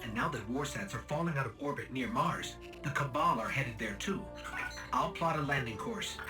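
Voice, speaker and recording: A man's voice: medium pitch, animated, normal speed, natural voice. An actor voicing a fussy, uptight male robot ghost companion in a video game, heard from a distance over music. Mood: briefing.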